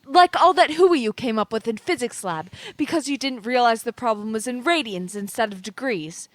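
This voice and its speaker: A woman's voice: fairly high pitch, normal speed, no echo, natural voice. A woman playing a frank, meddling young woman in an audio play, with a blunt, lecturing manner. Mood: evasive, chiding.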